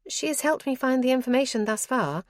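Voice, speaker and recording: A woman's voice: sits high, lively intonation, a little fast, dry recording, studio quality, voice pushed up. A woman voicing an earnest young noble wife who speaks in an eager, insistent way.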